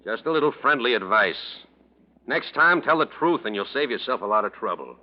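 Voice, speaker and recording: An actor playing a brusque, sardonic, suspicious police officer in a 1940s radio drama, talking in tough slang. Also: male voice, medium pitch, animated, a little fast, close to an everyday voice. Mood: matter-of-fact. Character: gruff, streetwise, suspicious male police officer. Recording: muffled, noisy old radio recording.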